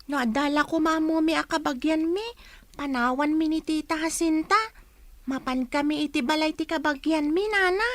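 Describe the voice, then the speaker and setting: A woman's voice: high voice, normal speed, lightly acted. A woman playing a hungry, outspoken young orphan girl with an Ilocano accent in a radio drama. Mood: pleading.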